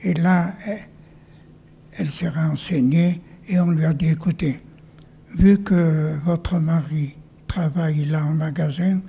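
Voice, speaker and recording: An older man from northern France telling his life story to an audience, halting and often dropping to a whisper. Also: a little high, steady tone, vocal fry, mellow, breathy edge. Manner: halting, with many restarts and whispered stretches. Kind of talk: testimony to an audience. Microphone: handheld mic.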